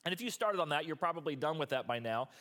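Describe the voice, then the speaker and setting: Hoarse pastor with a strained voice. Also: male voice, a little high, lively intonation, quick pace, fairly bright tone. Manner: casual, rambling asides. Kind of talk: sermon.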